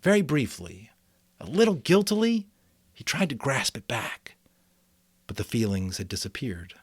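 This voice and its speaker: A man giving a dramatic, expressive amateur audiobook reading with casual commentary. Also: mid-range voice, moderate pace, husky, no room sound.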